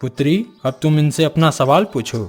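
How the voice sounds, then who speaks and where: A man's voice: a little high, animated, moderate pace, a little mellow, soft background music, close to an everyday voice. A man voicing a dignified middle-aged king and father in a cartoon story, speaking in a formal, courtly way. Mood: gracious.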